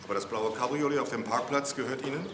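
An actor playing a brisk, matter-of-fact police detective who confronts people in a crime audio drama. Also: male voice, medium pitch, a bit bright, echoey room, a little hiss or hum, lightly acted. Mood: accusing.

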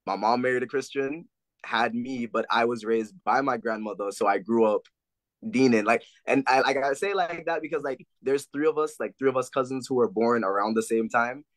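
A man's voice: mid-range voice, moderate pace, dry recording. A young man from Jamaica, a guest on a video call, talking animatedly and often saying "like". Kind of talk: casual video call chat. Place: indoor room.

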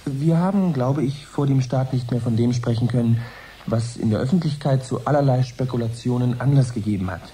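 A man playing a courteous studio host in a radio play. His mid-pitched voice is soft-edged and formal as he probes his guests, with faint noise underneath. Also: fairly quick, natural voice, poor audio. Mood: composed, inquiring. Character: composed male broadcast host interviewing scientists. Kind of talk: radio drama, studio interview.

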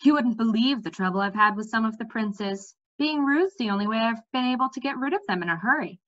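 Outspoken storybook princess, read by a woman, practical, emphatic, bright, slightly dull sound. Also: on the high side, lively intonation, fairly quick. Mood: matter-of-fact. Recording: slightly dull webcam audio.

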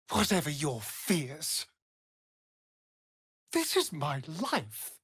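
A man's voice: on the high side, bright, forceful tone, husky, dry recording, clean studio sound, heavily acted. A man playing a sardonic, vain vampire spawn in a fantasy video game, with an arch, theatrical drawl. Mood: defiant, wry.

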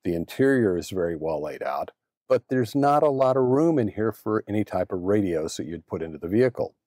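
Middle aged guy doing a how-to, dark relaxed voice, matter of fact, really dry sound. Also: lowish voice, brisk, no echo. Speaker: host presenting a radio installation. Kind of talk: explanatory how-to presentation.

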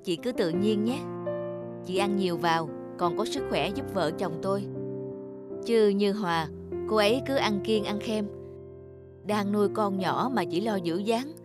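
Lonely cartoon husband, earnest but grumbly, music behind him. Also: male voice, high-pitched, a little slow. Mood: lonely, friendly. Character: lonely husband and father, discontented with his wife. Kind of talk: animated cartoon dialogue.

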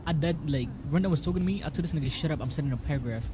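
A man's voice: a little high, racing. An American man chatting casually in joking, slangy street talk during game roleplay. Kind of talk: casual in-character roleplay chat. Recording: game street noise behind voice.

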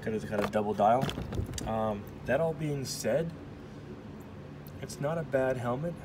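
A man casually reviewing his welding helmet, talking in a halting, rambling way with self-corrections and small jokes, recorded on a handheld phone in a workshop. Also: mid-range voice, background noise. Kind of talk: casual off-the-cuff product review. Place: workshop, indoors. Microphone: phone camera held in hand.

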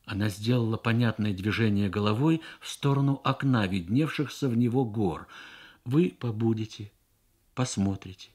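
Husky man reading a radio story. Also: on the low side. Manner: measured, expressive, with deliberate pauses. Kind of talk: dramatic literary narration.

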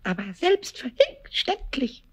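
High-pitched, cheeky little kobold. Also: slow, exaggerated voice. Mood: obliging. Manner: stammering, repeating words excitedly. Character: small, cheeky, tipsy rhyming kobold, male. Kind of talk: children's radio play acting.

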